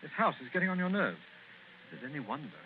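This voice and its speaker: An actor playing a calm, reassuring doctor with a grave manner in an old radio drama. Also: male voice, fairly high pitch, fast talker, background noise. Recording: tinny old radio broadcast.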